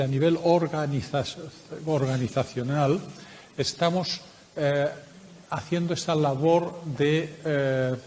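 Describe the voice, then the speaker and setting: A man's voice: fairly high pitch, a little mellow, slight background noise. Spanish man lecturing in an echoey hall. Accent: Spanish from Spain. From Spain. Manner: measured, explanatory, with frequent hesitations. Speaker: researcher presenting a project. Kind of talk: conference workshop talk. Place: conference room.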